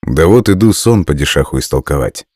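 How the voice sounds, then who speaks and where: A man playing a deep-voiced poor woodcutter. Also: expressive, brisk, a little mellow, no room sound, clean studio sound, heavily acted. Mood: rueful. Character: poor male woodcutter, humble and rueful.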